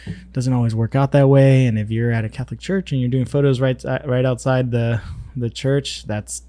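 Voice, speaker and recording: A man's voice: mid-range voice, flat delivery, fairly quick, warm tone. A man hosting a casual chat as a wedding photographer, loose and chatty, laughing often. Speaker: podcast host, wedding photographer.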